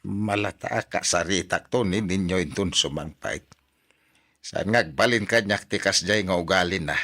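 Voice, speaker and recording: A man plays a concerned old Ilocano grandfather in a radio drama. His voice is gravelly yet bright and forceful, stern and grumbling. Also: low voice, normal speed.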